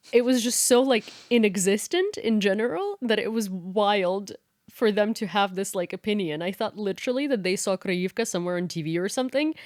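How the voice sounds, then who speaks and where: A young woman from Ukraine, co-hosting a casual podcast and telling a story in an animated way, full of "like". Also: medium pitch, dry recording, clean studio sound. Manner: animated storytelling, lots of "like" and laughing. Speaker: podcast co-host. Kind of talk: casual chat.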